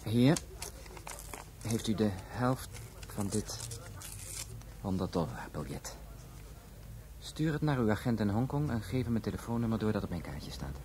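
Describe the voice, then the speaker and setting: An actor playing a formal, guarded drug supplier who speaks with measured politeness in a Dutch radio play. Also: male voice, fairly low pitch, very animated, warm tone. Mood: businesslike. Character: courteous, guarded foreign drug supplier, a man. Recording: noisy radio drama recording.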